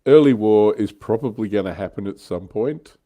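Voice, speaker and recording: A man's voice: lowish voice, moderate pace, mellow. A man chatting casually as a livestream host, with a wry, joking manner and blunt slang. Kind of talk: casual hobby chat and commentary.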